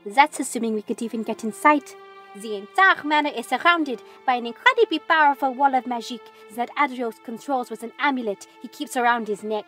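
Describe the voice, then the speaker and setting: Woman playing a high-voiced, earnest bookish rebel. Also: brisk, fairly bright tone, soft background music, close to an everyday voice. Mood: worried. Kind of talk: scripted fantasy audio drama acting.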